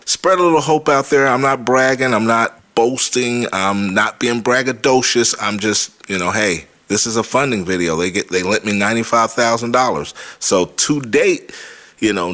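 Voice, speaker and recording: Male vlog host, low voice, casual and informal delivery, drives points home by repetition. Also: fairly bright tone. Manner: informal, repeats points for emphasis. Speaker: host of a money and real estate vlog. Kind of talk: casual vlog talk to viewers.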